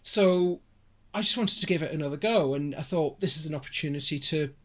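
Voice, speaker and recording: A man's voice: on the high side, fairly quick. A man chatting casually as a podcast guest, rambling and often interrupting himself with asides. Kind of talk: casual chat.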